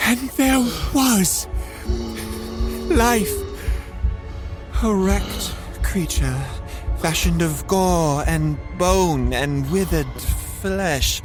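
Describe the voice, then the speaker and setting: Haunted scientist monologue, halting n melodramatic, slow, faint music behind. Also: male voice, sits high, lively intonation, heavily acted. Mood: horrified awe. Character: tormented male scientist recounting his own horror. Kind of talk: theatrical audio drama monologue.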